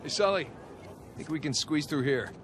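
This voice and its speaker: A man's voice: a little high, lively intonation, moderate pace, natural voice. An American actor in his thirties playing a wry, casual, adventurous treasure hunter in a video game. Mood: wry, curious. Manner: wry, casual, muttering to himself. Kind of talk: naturalistic video game acting. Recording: game audio under loud ambient noise.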